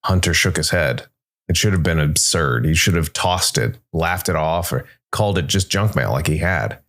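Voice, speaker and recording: A man's voice: very deep voice, expressive, normal speed, fairly bright tone. A man hosting a podcast and reading scripted story narration.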